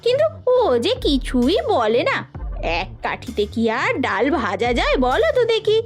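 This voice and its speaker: A woman's voice: sits high, wildly swooping pitch, normal speed. A woman playing a scheming young village stepmother in an audio melodrama, whining and wheedling as she talks. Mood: aggrieved complaining. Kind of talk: melodramatic Bengali audio story acting. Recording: music under the voice.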